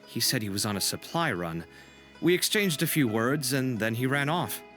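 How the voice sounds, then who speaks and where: An actor playing an earnest, concerned friend in a video game, with a casual, expressive conversational delivery. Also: male voice, medium pitch, normal speed, natural voice. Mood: explaining, concerned. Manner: conversational, animated. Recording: music behind the voice. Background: game music.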